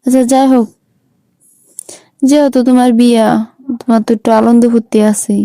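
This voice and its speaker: Heartbroken young Bangladeshi sweetheart played by a woman, reproachful, mellow, very dry close sound, phone-call drama. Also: on the high side, fairly even intonation, brisk, clean tone, no room sound, lightly acted. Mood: hurt, reproachful. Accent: Bangladeshi Bengali. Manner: reproachful, plaintive.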